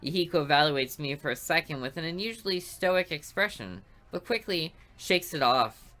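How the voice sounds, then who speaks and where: A woman narrates a visual novel in first person with a dry, sarcastic edge. Her voice sits low but has a bright, clear tone, and it moves up and down a lot. Faint music plays underneath. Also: lively intonation, normal speed. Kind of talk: reading a visual novel aloud.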